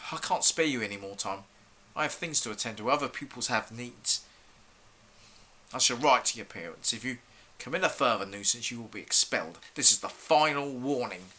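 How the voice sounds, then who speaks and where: A man's voice: medium pitch, lively intonation, fairly quick, sharp tone. A male actor playing a stern, exasperated head teacher in a theatrical monologue, accusing a pupil with formal, clipped questions. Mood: cold, resigned. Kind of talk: theatrical monologue for an acting showreel.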